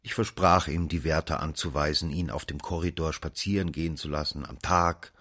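An actor narrating in the first person as a former investigating judge in a radio drama, measured and sober. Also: male voice, a bass voice, steady tone, fairly bright tone. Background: music underneath.

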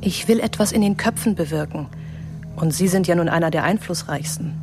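A woman's voice: on the low side, brisk, over music, close to an everyday voice. An actress in a radio drama playing a combative female campaigner who keeps challenging a banker. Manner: pointed, challenging, insistent. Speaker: activist critic at a forum.

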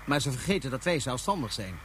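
An actor playing an imperious, calculating fugitive ruler from Mars in a science fiction radio play, speaking in curt orders. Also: male voice, medium pitch, sing-song, fast, fairly bright tone, a little hiss or hum. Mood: commanding. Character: haughty, scheming fugitive ruler of a Martian realm.

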